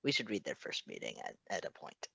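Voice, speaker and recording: A man's voice: sits low, huge pitch swings, fairly quick, creaky, sharp tone, slightly rough, no echo. A man reading fan fiction aloud as a narrator, with casual asides.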